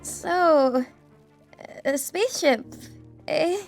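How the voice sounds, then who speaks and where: An actress playing a cautious, clever young female student in an audiobook drama, expressive and wary. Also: high-pitched, extremely slow, creaky, soft background music, exaggerated voice. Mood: shaken. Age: young adult.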